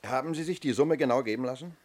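An actor in a German radio play playing a methodical, sceptical middle-aged police inspector who questions in a clipped, probing way. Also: male voice, mid-range voice, fast, natural voice. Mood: businesslike.

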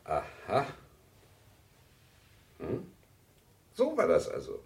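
An actor playing a wry male university lecturer in a radio drama, speaking in a hesitant, deliberate, dryly ironic way. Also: fairly high pitch, wildly swooping pitch, vocal fry, full character voice. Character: Lars, measured, wry male university lecturer leading a seminar. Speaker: seminar lecturer.

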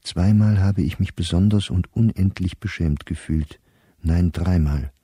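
Ein Schauspieler als verfolgter Gelehrter mittleren Alters, der in einem Hörspiel nachdenklich und knapp aus seinem Tagebuch spricht. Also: male voice, sits low, a little slow, warm tone, husky, dry recording, clean studio sound. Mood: confiding, rueful. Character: persecuted middle-aged scholar reading his own diary. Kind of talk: radio play diary monologue.

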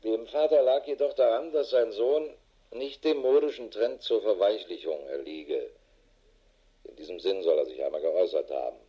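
Low-voiced, world-weary police investigator. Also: male voice, normal speed, breathy edge, muffled recording. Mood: sober, dictating. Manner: matter-of-fact, dry, clipped official phrasing. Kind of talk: German radio drama acting, dictated report.

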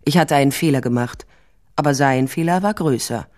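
A woman's voice: extremely low pitch, a little fast, darkish tone. An actress playing a shrewd, wry investigator who narrates her case in a dry, matter-of-fact way in a radio crime drama.

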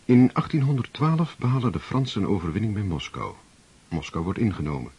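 A man narrating a radio drama in a measured, formal, literary storytelling manner. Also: fairly low pitch, lively intonation, darkish tone, husky. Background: music.

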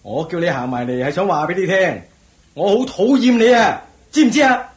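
A man's voice: sits high, very animated, brisk. A man acting a haughty, sneering, domineering general in a Hong Kong Cantonese radio drama. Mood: contemptuous. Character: haughty, bullying army general.

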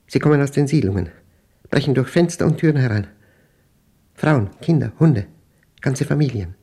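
A brooding man of numbers confiding his diary in a radio drama. His voice is mellow and dark, sitting at an average male pitch. He speaks in short, measured, deliberate phrases with a grave, inward temper. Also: husky. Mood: haunted, grave. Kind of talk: dramatic monologue in a German radio play.

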